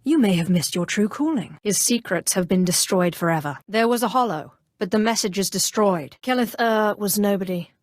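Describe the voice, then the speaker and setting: An actress playing a cold, haughty, cunning female Sith sorceress in a video game, speaking in a composed, imperious and deliberate way. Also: mid-range voice, animated, dry recording, studio quality, natural voice. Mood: measured, calculating. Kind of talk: scripted role-playing game dialogue.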